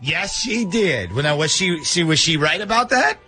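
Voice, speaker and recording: Animated higher-pitched man hosting morning radio. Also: moderate pace, slightly rough, faint music behind. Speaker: morning radio show host. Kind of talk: radio prank call banter. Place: radio studio.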